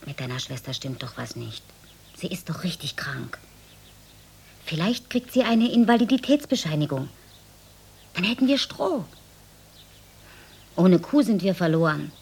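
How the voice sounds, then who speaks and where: An actress playing a careworn village mother with a husky, breathy voice, coaxing her children in an intimate radio drama. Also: lowish voice, sing-song, measured pace, pauses often, darkish tone, heavily acted.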